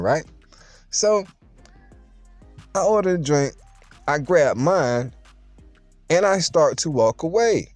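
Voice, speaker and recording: Animated storytelling man with street slang. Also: medium pitch, dry recording. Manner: streetwise, playful, boastful slang, addresses listeners directly. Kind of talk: casual storytelling to viewers.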